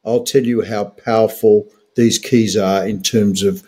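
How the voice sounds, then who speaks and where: A man's voice: fairly low pitch, steady tone, measured pace, warm tone, slightly rough. An older man in his sixties with an Australian accent, a doctor co-hosting a health podcast in an emphatic, opinionated way with wry humour.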